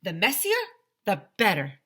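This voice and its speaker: A woman's voice: mid-range voice, sing-song, normal speed, pushed and bright, husky, full character voice. A woman voicing a boastful, eager school custodian in a children's storybook, with grandiose superhero-style proclamations. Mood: gleeful.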